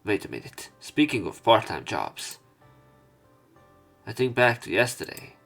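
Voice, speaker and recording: A man narrating a visual novel let's play, reading the text aloud in a measured way with some stumbles. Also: fairly low pitch, sing-song, creaky, fairly bright tone, faint music behind. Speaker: let's player.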